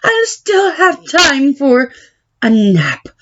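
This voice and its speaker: Woman putting on an overeager, whiny young man, high-pitched. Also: sing-song, very slow, a bit bright, muffled recording, exaggerated voice. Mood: excited, scheming. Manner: exclaiming, overeager. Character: excitable, whiny, foolish young man, Big Anthony. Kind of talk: picture book read-aloud character voice.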